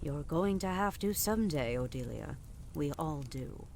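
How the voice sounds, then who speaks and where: A woman's voice: low voice, animated, normal speed, vocal fry, a little mellow, slight background noise. A woman playing a haughty middle-aged queen and guilt-tripping mother in an audio drama, dismissive and cajoling by turns. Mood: coaxing, wistful. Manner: dismissive, cajoling, imperious, with exasperated sighs. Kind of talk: audio drama podcast acting.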